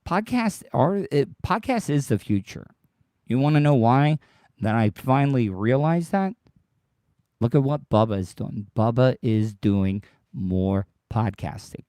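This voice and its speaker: A man hosting his own podcast livestream, rambling and repeating himself as he talks straight to his listeners, his voice worn deeper than usual by tiredness. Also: animated, unhurried, dark tone, no echo, studio quality. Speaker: podcast host. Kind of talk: casual solo livestream monologue.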